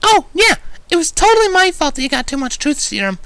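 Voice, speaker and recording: A man voicing a hyperactive, bubbly young female cartoon pony with a squeaky, gushing delivery. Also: sing-song, a little fast, bright, forceful tone, dry recording, full character voice. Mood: guilty, energetic.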